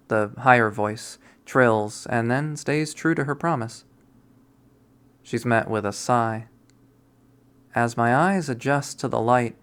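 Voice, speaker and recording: A man narrating a visual novel readthrough in a deliberate, storytelling manner. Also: medium pitch, a bit bright, clear, resonant tone. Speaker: game streamer reading a visual novel aloud.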